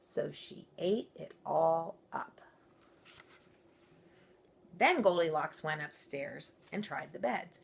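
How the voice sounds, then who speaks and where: A woman's voice: low-pitched, animated, slow pace, vocal fry, pauses often, slightly rough. A woman reading a children's story aloud expressively, with informal, playful asides.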